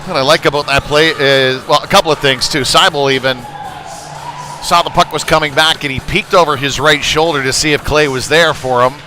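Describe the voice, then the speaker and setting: A man's voice: fairly high pitch, fairly even intonation, brisk, bright, forceful tone, faint music behind, some chatter behind. A man calling live play-by-play of a youth hockey game in a folksy, conversational way, with analytical asides. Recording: noisy rink ambience behind voice.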